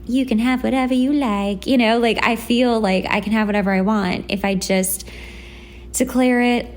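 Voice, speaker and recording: A woman's voice: fairly high pitch, fairly even intonation, moderate pace. A woman hosting a casual motivational solo podcast, talking in an informal, conversational and emphatic way. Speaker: podcast host.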